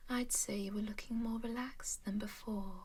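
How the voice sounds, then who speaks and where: Woman voicing an ancient vampire lady, whispered, breathy, soft, doting, unhurried, ASMR roleplay. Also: mid-range voice, steady tone, lightly acted. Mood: gentle. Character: ancient female vampire, genteel, possessive, calmly scheming.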